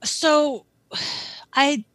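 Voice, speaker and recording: Podcast guest, woman, bright clear voice, dry close sound, earnest and reflective. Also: high-pitched, very slow, dry recording. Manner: thoughtful, earnest, many restarts and "like". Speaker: photographer guest. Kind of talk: casual podcast conversation. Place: Philadelphia area.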